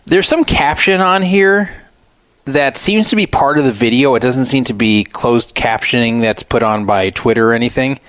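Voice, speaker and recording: A man's voice: medium pitch, normal speed, a bit bright, no echo. A man hosting a radio show, giving casual critical commentary in a sarcastic, mocking manner.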